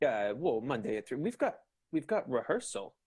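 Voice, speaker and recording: Guy doing a nervous evasive boss for a radio comedy, stammery, kinda husky, pitch jumps all over. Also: very animated, darkish tone. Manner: halting, stammering, hedging. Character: flustered, evasive male 1920s boss stalling nervously. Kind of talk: improvised radio comedy acting.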